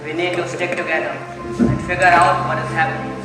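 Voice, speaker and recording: A man acting a level-headed, methodical gentleman in a stage play, speaking in a measured, matter-of-fact way. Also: a little high, level tone, close to an everyday voice. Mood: calm. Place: school hall stage. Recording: echoey hall with long reverb, music.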